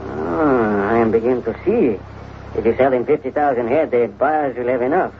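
A man's voice: mid-range voice, moderate pace, natural voice. Man as sly, oily Mexican henchman. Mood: sly delight. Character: sly, fawning Mexican ranch foreman henchman. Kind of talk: old-time radio western acting. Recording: muffled, noisy old radio recording.

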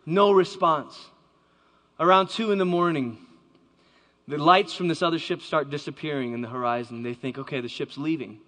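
A man tells a story on stage to a live audience, in a voice a little higher than most men's. He acts out every voice he quotes, with a dramatic storyteller's timing. A long reverb from a large hall trails behind each phrase. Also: moderate pace, fairly bright tone. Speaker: speaker.

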